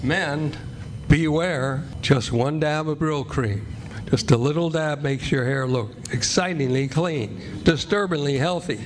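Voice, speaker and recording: A man's voice: a little high, measured pace. A man announcing in scripted 1940s radio style, reading credits and sponsor copy. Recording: live stage recording, background noise.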